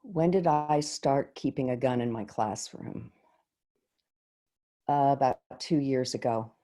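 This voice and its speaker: A woman playing an earnest, shaken middle school teacher in a staged monologue, reflective and halting, with deliberate pauses, over a video call. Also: rumbling low, warm tone. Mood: shaken, subdued.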